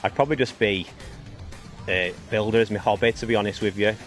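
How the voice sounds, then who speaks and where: A man's voice: on the low side, a little fast, faint music behind. A man giving plain, matter-of-fact interview answers. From St Helens, England. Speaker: competitor interviewee.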